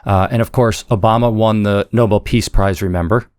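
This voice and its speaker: A man's voice: lowish voice, steady tone, moderate pace, a little mellow, clean tone, no room sound, studio quality. A man speaking analytically as an expert guest in an interview. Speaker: guest author, foreign policy scholar.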